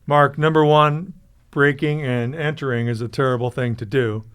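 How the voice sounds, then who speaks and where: A man's voice: medium pitch, steady tone, fairly bright tone, smooth, lightly acted. Man playing a self-important small-town sheriff. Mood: officious. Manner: emphatic, officious, deliberate pauses. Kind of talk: improvised comedic radio drama.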